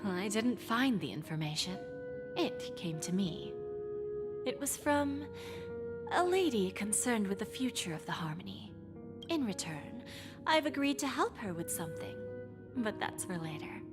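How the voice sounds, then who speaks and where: A breathy, scheming pawnbroker. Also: female voice, medium pitch, big pitch swings. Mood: sly. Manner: smooth, unhurried, teasing. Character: suave scheming woman pawnbroker, composed. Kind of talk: video game voice acting. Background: game music.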